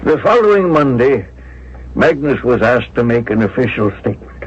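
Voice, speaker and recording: A husky old man in a 1970s radio drama, haunted and wistful with love for someone long dead. His delivery is halting and emotional, on a muffled old broadcast recording. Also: medium pitch, big pitch swings. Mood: somber recollection. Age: older.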